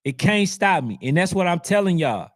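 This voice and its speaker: A man with a fairly high, hoarse voice talks straight to his audience, as if his voice is wearing out. He comments on sports in an animated, preachy manner, reading out comments as he goes. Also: normal speed. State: losing his voice. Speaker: youth football channel host.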